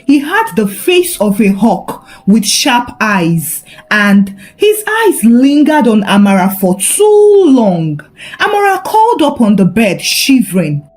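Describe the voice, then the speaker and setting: A woman narrating a scripted folktale in a dramatic, expressive storytelling manner. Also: on the high side, slow.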